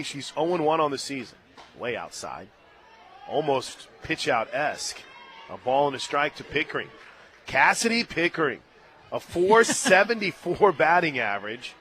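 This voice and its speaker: A man calls the game play by play from a stadium radio booth, his voice bright and slightly high. He keeps a brisk, steady patter and lifts into excited shouting when the action breaks. Faint crowd ambience sits under his headset mic. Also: slight background noise. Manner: brisk, surges into excited shouting on big plays. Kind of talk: live sports play-by-play.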